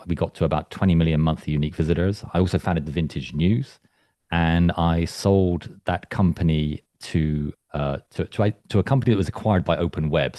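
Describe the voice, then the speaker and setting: A man's voice: extremely low pitch, warm tone, no room sound, clean studio sound. A man giving a chatty, self-deprecating presentation over a video call, often restarting his sentences. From England. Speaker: invited speaker, studio founder. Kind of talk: presentation to an online club.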